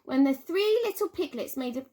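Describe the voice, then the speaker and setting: A woman teacher reading a children's storybook aloud with expressive, dramatic emphasis and drawn-out words. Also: sits high. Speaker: primary school teacher.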